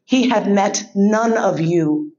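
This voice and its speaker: Woman speaker, frank and profane storyteller, middle pitch, slightly muffled lo-fi sound. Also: slow, darkish tone. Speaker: podcast host giving a keynote speech. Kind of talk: storytelling speech.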